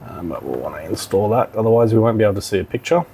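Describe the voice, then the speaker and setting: A man giving an informal software tutorial, thinking aloud as he instructs step by step. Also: fairly low pitch, lively intonation, very fast, husky. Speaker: technical demonstrator. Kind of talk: software tutorial walkthrough, talking while clicking.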